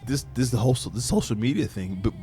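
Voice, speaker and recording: A man co-hosting a podcast who adds short remarks in halting speech, often repeating words. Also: medium pitch, lively intonation, mellow, soft background music.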